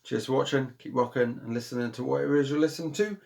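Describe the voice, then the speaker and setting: Male, British accent, relaxed unboxing host. Calm, soothing delivery with a gravelly texture, chatty and rambling, with plenty of ums and restarts. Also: medium pitch, racing. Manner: rambling and chatty, with many ums and self-corrections. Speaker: vinyl collector and channel host. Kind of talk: casual unboxing chat.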